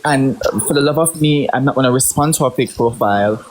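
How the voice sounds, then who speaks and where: A man's voice: medium pitch, a little fast, rough recording. A man with a Jamaican accent, hosting a livestream and answering critics with emphatic, repeated phrasing. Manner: emphatic, repeats words when stressing a point. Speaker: livestream host. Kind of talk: heated livestream discussion.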